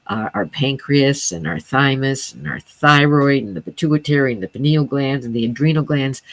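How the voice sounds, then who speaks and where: Woman, low voice, sound healing teacher as guest, earnest explainer, ums and restarts, dry close sound. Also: no echo. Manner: earnest, steady explaining with frequent fillers and restarts.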